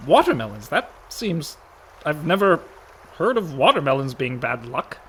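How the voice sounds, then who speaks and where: A man acting as a fretful younger brother and reluctant swindler in a radio comedy. The voice is high and very lively, full of sarcasm and worry. There is a faint noise behind it. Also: normal speed. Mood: sarcastic, grumbling. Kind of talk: comic radio play acting.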